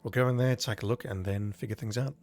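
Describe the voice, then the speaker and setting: Croaky, low-voiced man streaming a game. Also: fairly even intonation, fast, darkish tone, slight background noise. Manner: rambling, chatty, apologetic. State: croaky from illness. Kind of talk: casual gameplay commentary.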